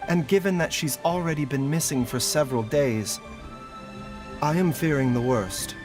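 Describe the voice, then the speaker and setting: Calm, courteous doctor played by a man, lighter voice. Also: a little high, lightly acted. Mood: grave. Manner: measured, formal, explanatory. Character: calm, courteous, learned young male doctor. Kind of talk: video game voice acting. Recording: game audio with music behind.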